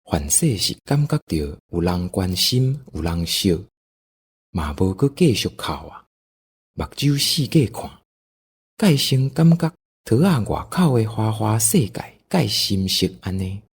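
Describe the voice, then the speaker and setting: A man's voice: lowish voice, very animated, a little slow, breathy edge. A man with a Taiwanese accent narrating an audio drama in a folksy, dramatic storytelling style.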